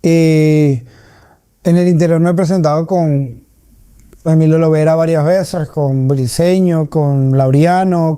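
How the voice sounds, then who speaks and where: Hombre caraqueño de voz muy oscura y relajada. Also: male voice, on the high side, warm tone, smooth, dry recording, clean studio sound. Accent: Caracas Venezuelan. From Caracas, Venezuela. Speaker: comedian, interview guest. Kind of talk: conversational interview answers.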